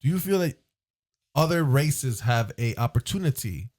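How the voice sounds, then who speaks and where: A man's voice: medium pitch, animated, normal speed, darkish tone. A man hosting a casual podcast, teasing his guests and asking pointed questions. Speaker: host.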